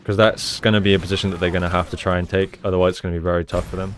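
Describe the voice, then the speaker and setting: A man's voice: low-pitched, little pitch movement, racing, slight background noise. A man giving casual, conversational commentary as he analyses gameplay like a coach, explaining each move.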